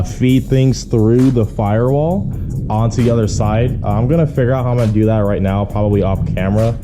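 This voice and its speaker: A man talking in a casual, laid-back, slangy way as a car vlogger explaining a hands-on job. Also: medium pitch. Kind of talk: casual how-to chat.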